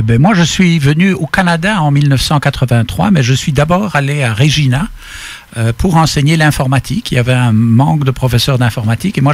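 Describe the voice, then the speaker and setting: A man's voice: mid-range voice, no room sound. A man born in Belgium, a scientist guest, giving plain, matter-of-fact interview answers.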